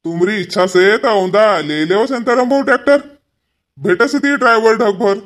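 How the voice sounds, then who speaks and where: A man's voice: high-pitched, normal speed, a bit bright. A man voicing a homely village husband and headman in a cartoon comedy, blunt in manner, with a Powari accent. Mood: loud, encouraging. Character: impatient but agreeable village husband and headman.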